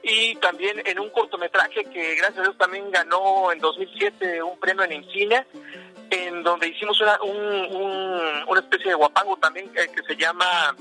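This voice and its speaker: A man's voice: high-pitched, fairly even intonation, normal speed, bright, forceful tone, soft background music, poor audio. A man from Veracruz, a musician guest in a podcast interview, talking casually with many fillers and restarts. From Veracruz, Mexico.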